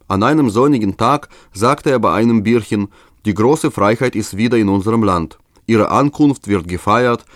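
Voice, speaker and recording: Dry, low male narrator from Russia. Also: dry recording. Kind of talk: scripted narration.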